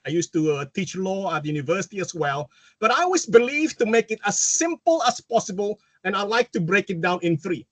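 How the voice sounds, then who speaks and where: A man's voice: sits high, no echo. A man from Malaysia with a mixed Malaysian and British accent, giving an enthusiastic webinar talk over a video call, often stopping and restarting his sentences. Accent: Malaysian-British mix. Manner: animated, enthusiastic, self-interrupting. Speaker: guest speaker. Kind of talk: webinar presentation.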